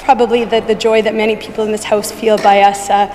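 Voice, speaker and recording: A woman with a croaky, hoarse voice giving a formal speech as an opposition member in a legislature, measured and deliberate. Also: mid-range voice, level tone, a little mellow, a little hiss or hum. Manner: measured, deliberate, with some restarts. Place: legislative chamber. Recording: long reverb in a large chamber.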